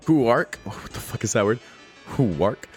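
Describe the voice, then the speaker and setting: A man's voice: medium pitch, expressive, a little slow, over music. A man streaming a game, reading its text aloud and reacting casually with slangy exclamations and swearing. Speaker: gaming streamer reading a visual novel aloud.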